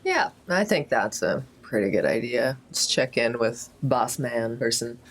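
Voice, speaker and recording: Husky voice, lively intonation, wry, casual in-game chat. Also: mid-range voice, unhurried. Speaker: tabletop game player. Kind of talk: casual in-character game discussion.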